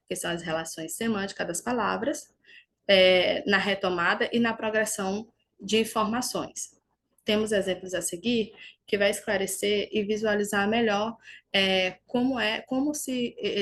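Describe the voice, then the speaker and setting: A woman's voice: medium pitch, a bit bright. A woman teacher, giving a recorded grammar lesson over slides and explaining step by step with small restarts.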